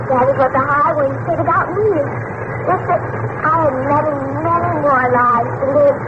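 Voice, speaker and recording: A woman's voice: high voice, normal speed, natural voice. An actress playing a serene young mystic jungle girl who speaks in formal, solemn phrases with an impish streak, in a radio adventure serial. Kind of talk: old-time radio adventure serial acting. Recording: tinny, very noisy old radio recording.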